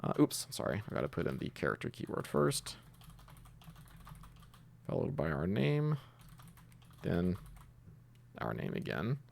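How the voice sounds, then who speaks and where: A man giving a casual screencast coding tutorial, talking haltingly as he thinks aloud while typing. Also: fairly low pitch, wildly swooping pitch, vocal fry, a little hiss or hum. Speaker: programming tutorial host.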